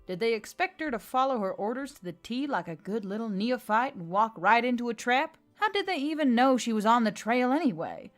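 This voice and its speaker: A woman's voice: on the high side, lively intonation, brisk, few pauses, soft background music. A woman reading scripted story narration as the narrator of a work of fiction.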